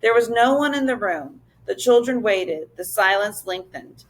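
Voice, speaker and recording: A woman's voice: mid-range voice, animated, a little slow, low-quality recording. A woman narrating a children's story as a teacher reading aloud to a class.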